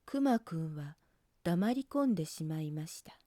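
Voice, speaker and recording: A woman narrating a scripted picture book for children in a gentle, careful storytelling manner. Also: sits low, lively intonation, moderate pace, no echo, studio quality.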